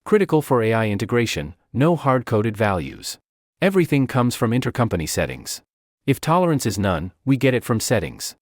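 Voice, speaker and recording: A man narrates a software walkthrough from a script. His mid-pitched voice moves with lively intonation through short, clipped, list-like phrases. The sound is dry, free of room reflections. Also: fairly quick, no room sound. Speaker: software demo presenter.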